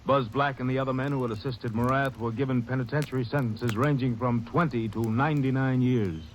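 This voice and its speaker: A man's voice: medium pitch, fairly even intonation, a little fast, close to an everyday voice. A man narrating the epilogue of a 1940s radio drama in a formal, matter-of-fact way. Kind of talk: scripted narration. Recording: old radio broadcast, distant, noisy.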